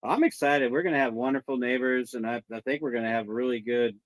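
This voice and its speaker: Man, medium pitch, dry sound, casual livestream conversation, guest speaker. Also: fairly even intonation, fast talker, dry recording.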